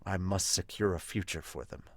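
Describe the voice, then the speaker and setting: Stern middle-aged anime hero played by a man: deep, quiet, solemn delivery heavy with remorse. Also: normal speed, dry recording, clean studio sound. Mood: grim, reflective. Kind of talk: anime manga fan dub.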